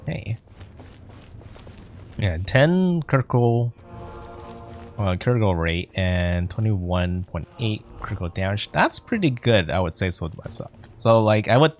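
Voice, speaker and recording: A man's voice: on the low side, very animated, measured pace, slight background noise. A man giving casual let's play commentary as a game streamer, chatty and reacting aloud.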